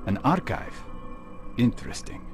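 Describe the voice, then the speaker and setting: An actor playing a stern, determined medieval assassin in a video game cutscene, speaking in a terse, measured way. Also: male voice, mid-range voice, wildly swooping pitch, faint music behind. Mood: calm, inquiring.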